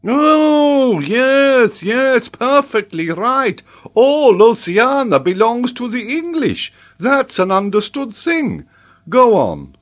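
A man voicing an excitable, pompous middle-aged geography professor in a storybook reading, with emphatic, exclamatory delivery. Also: on the high side, slow pace, pitched above the performer's own voice, full character voice. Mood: astonished, exasperated.

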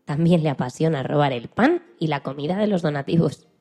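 A woman narrates as a radio presenter, telling little stories. The voice is husky and fairly low, with faint music underneath. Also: lively intonation, a little fast, dark tone.

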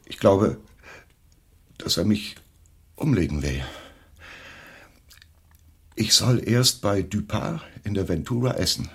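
A deep, husky, nervous schemer. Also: male voice, lively intonation, fairly bright tone, full character voice. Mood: anxious, boastful. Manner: hesitant, halting, self-justifying. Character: nervous, evasive male schemer afraid for his life. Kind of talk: German radio drama acting.

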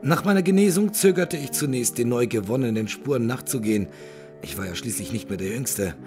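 A man playing a grave, courteous older gentleman haunted by tragedy, speaking formally and with measure in a German audio drama. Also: medium pitch, animated, fairly quick, faint music behind, lightly acted. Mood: grave, bitter.

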